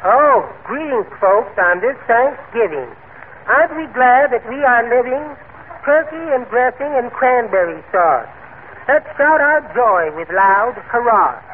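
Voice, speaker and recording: An actor playing a meek, henpecked little poet in a timid, prim, genteel manner, in 1940s radio comedy style. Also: male voice, very high voice, expressive, unhurried. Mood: reciting cheerily. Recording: noisy, tinny old radio broadcast.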